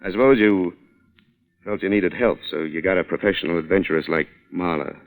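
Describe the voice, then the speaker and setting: Low-voiced hard-boiled radio reporter. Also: male voice, brisk. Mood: accusing, wry. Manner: pointed, wry, taunting. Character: hard-boiled male reporter, shrewd, defiant, accusing. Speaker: lead actor and narrator. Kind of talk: old-time radio suspense acting. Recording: vintage radio broadcast sound.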